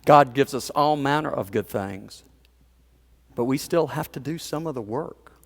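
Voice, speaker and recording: A man's voice: mid-range voice, animated, a little slow, creaky. Man preaching a story-driven sermon. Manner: storytelling, conversational, with asides and chuckles. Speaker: pastor.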